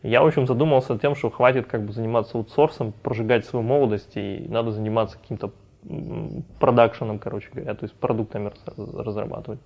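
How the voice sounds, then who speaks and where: A man's voice: mid-range voice, steady tone, fast, a little mellow. A man, a company founder, talking casually in a podcast interview and rambling with frequent restarts. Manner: rambling, many restarts and fillers. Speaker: company founder and CEO guest.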